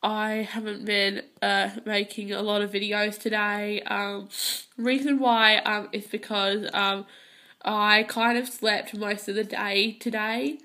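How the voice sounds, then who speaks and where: A woman's voice: medium pitch, flat delivery, takes it slowly, sharp tone. Tired girl with a stuffy head cold. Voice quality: hesitant, many ums and restarts. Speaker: gaming channel host. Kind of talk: casual gameplay commentary. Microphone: phone filming a handheld console screen.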